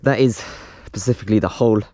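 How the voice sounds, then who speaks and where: A man's voice: fairly low pitch, fast, warm tone. A man casually narrating hands-on home renovation, thinking aloud as he works. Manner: unscripted, thinking aloud while working. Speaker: host of a home renovation vlog. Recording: noisy home recording with clicks.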